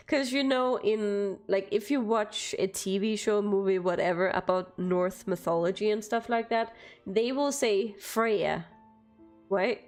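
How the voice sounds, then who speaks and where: A woman's voice: mid-range voice, slow, darkish tone, faint music behind. A young woman from Denmark with a light Danish accent, chatting casually with viewers on a live stream and laughing often between phrases.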